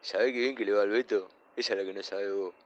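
A man's voice: lowish voice, steady tone, quick pace, highs cut off, close to an everyday voice. A man playing a boastful, suggestive middle-aged flirt in a comic radio play, with an Argentine accent. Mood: cocky, seductive. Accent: Argentine, Rioplatense. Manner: boastful, suggestive, talks of himself in third person.

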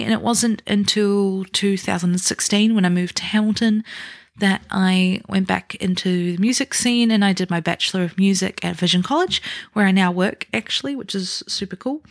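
A woman's voice: medium pitch, no echo, clean studio sound. Chatty New Zealand woman hosting a podcast. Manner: chatty, self-deprecating, unhurried storytelling. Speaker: podcast host. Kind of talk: casual solo podcast talk.

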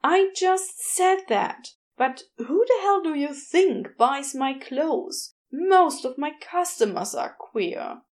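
Lady doing a loud-mouthed grumpy shop guy, blunt and exasperated, voice pushed way up high, audiobook style. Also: animated, takes it slowly, few pauses. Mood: defensive, blunt. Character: brash, irritable, self-admitted jerk of a male shopkeeper.

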